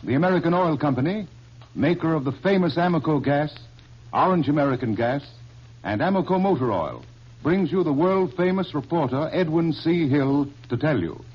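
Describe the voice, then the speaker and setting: A man's voice: fairly high pitch, expressive, normal speed, husky. A man giving a dramatic 1930s radio sponsor introduction. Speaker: sponsor announcer. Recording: dull old radio broadcast with faint hiss.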